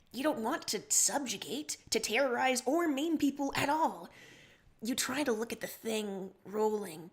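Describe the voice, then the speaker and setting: High-voiced woman narrating a dramatic story. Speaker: livestream story reader.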